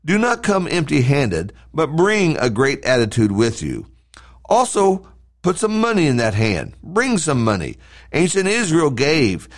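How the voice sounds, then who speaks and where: Expressive man reading a devotional. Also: on the high side, a little slow. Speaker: podcast host and preacher. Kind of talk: scripted devotional reading, sermon-like.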